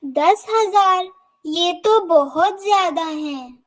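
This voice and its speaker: An actor voicing a gentle, hardworking mother bird in a children's cartoon. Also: very high voice, very slow, heavily acted. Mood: worried. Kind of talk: Hindi cartoon dialogue.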